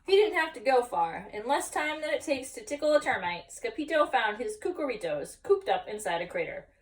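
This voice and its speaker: A woman reading a picture book aloud expressively, pausing to explain and ask children questions. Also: fairly high pitch, far from the mic. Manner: animated, pauses to explain and question. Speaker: teacher reading at school story time. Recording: voice some way from the mic.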